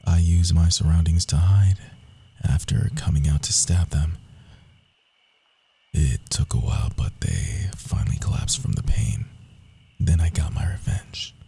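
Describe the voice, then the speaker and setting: A man playing a very deep, husky rogue assassin. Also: lively intonation, faint music behind, heavily acted. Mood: grim, bitter. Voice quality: husky, breathy, often dropping to a whisper. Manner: hushed, confiding, dramatic pauses. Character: young male rogue assassin, former street urchin, proud, wounded. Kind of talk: ASMR roleplay monologue, intimate storytelling.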